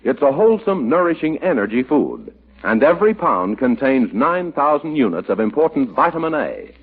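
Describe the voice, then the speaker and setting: A man's voice: medium pitch, big pitch swings, normal speed. An American man announcing and reading sponsor copy in polished 1940s radio style. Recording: tinny, rough vintage radio broadcast.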